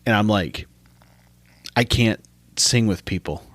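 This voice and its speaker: A man with a deep voice co-hosting a podcast, teasing and sarcastic in casual chat. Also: takes it slowly, fairly bright tone, dry recording. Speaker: podcast co-host.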